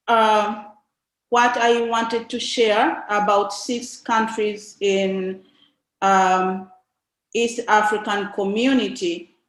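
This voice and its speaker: Female presenter, Tanzanian accent, mid-pitched voice, unhurried and halting informative delivery with frequent pauses. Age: young adult. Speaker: invited speaker, nun. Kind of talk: informative slide presentation talk. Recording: echoey, roomy sound.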